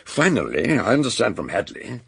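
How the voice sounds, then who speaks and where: An actor playing a solicitous, well-spoken British doctor who narrates a radio drama in a formal, expressive manner, with a gravelly, husky voice. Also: male voice, lowish voice, brisk, dry recording, studio quality, exaggerated voice. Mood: fussy concern. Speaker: narrator and supporting character.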